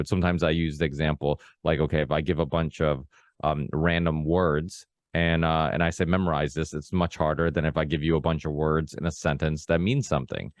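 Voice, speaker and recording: A man's voice: rumbling low, dry recording. A Portuguese-born man of about forty, a native Portuguese speaker, hosting a casual podcast conversation and rambling with many restarts. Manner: rambling, full of restarts and "you know, like". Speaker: podcast host. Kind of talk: casual long-form conversation.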